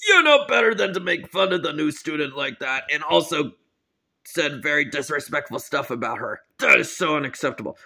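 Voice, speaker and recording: A man's voice: fairly high pitch, lively intonation, a little fast, sharp tone, husky, full character voice. A man playing a stern, indignant school principal who scolds emphatically and repeats himself in an amateur cartoon story. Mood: stern, scolding. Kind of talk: amateur role-played children's cartoon story.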